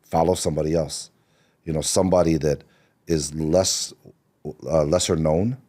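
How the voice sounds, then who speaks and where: Very deep, mellow-voiced man telling stories. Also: steady tone, normal speed. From Seattle, Washington. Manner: loose and rambling, many fillers and restarts. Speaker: podcast guest, screenwriter. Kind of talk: relaxed interview storytelling.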